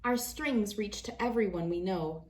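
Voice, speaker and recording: Woman, read-aloud host, mid-pitched voice, children's picture book narration, expressive and deliberate phrasing. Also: a little hiss or hum.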